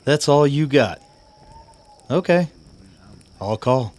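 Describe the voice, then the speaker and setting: An actor playing a sly, cocky gunslinging card sharp in a western radio drama, with a taunting, folksy drawl. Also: male voice, mid-range voice, lively intonation, slow pace. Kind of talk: old-time western radio drama acting.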